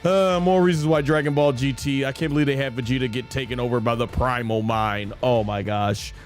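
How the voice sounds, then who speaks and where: A man's voice: medium pitch, fairly even intonation, a little fast. A man hosting a live game stream, chatting casually and thinking aloud in a rambling way. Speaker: streamer, host. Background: faint game music.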